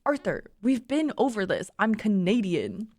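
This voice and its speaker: A woman voicing an excitable, indignant young female streamer who is quick to bicker, in a story. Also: medium pitch, dry recording.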